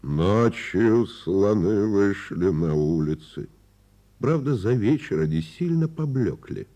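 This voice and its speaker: A man reading a literary fairy tale as a radio theatre narrator, with measured, dramatic phrasing. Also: lowish voice, expressive, dark tone. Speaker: actor reading a story.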